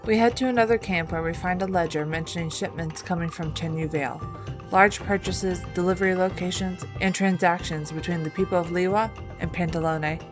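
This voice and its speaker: A woman's voice: fairly low pitch, steady tone, brisk. A woman reading scripted narration of a video game story as a storyteller. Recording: voice-over with music bed. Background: music.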